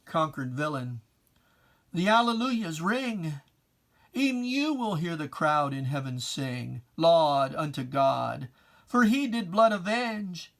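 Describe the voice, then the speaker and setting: A reciter performs religious verse as a dramatic reading at a very unhurried pace. The delivery is solemn and declamatory, with emphatic phrasing and old-fashioned diction. The voice sits at a medium pitch. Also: fairly bright tone. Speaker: poetry reciter.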